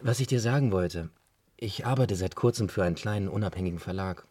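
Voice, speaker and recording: An actor playing a self-assured, teasing friend with an assertive, needling manner in a German radio play. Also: male voice, low-pitched, fairly quick, a little mellow, no room sound. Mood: teasing, confiding. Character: teasing, knowing male friend, self-assured cellar-bar builder.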